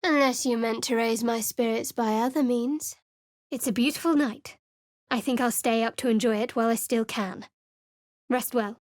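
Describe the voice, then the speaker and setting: Guarded young female cleric, played by an actress, cool and sardonic, slightly high voice, dead-dry booth. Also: no echo, close to an everyday voice. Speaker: game character voice actor. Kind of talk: video game voice lines. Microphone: close studio microphone.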